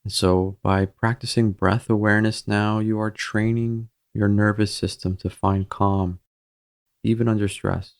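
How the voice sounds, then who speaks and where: A man with a low, noticeably creaky voice guides a meditation. His delivery is gentle and measured, leaving pauses between short phrases. The intonation stays fairly even throughout, giving it a soothing, steady feel.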